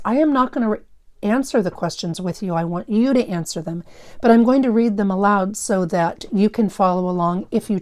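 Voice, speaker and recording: A woman's voice: medium pitch, mellow. A woman teaching fifth grade, explaining instructively and reading a story aloud to pupils.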